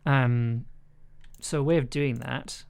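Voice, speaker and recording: A man's voice: mid-range voice, fairly even intonation, slow pace, gravelly, darkish tone, slight background noise. A man giving casual, hesitant livestream commentary as he edits audio, thinking aloud as a producer. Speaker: streamer and audio drama producer.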